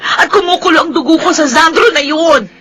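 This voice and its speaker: A Filipino actress playing a bitter, hot-headed young daughter in a radio drama, emotional and accusing. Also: female voice, high-pitched, a little fast, fairly bright tone, slight background noise. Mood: frustrated.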